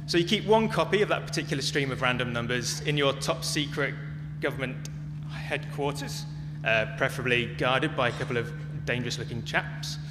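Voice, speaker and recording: A man giving a prepared talk as a conference speaker, phrasing it in short, measured, emphatic chunks. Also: mid-range voice. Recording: long echoey reverb, clearly noisy with hum.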